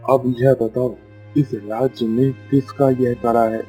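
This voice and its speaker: Mellow-voiced commanding king, played by a man. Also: mid-range voice, flat delivery, measured pace, breathy edge, faint music behind. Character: commanding male king questioning his court in a folk tale. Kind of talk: cartoon story dialogue.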